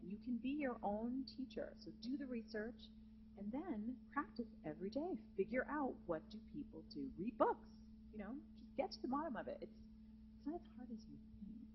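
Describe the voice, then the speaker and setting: A woman with a naturally low speaking voice teaching a live vocal jazz masterclass to students, lively and encouraging, in a conference hall. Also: moderate pace. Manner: lively, encouraging, demonstrates with sung examples. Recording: dull lo-fi live recording with hum.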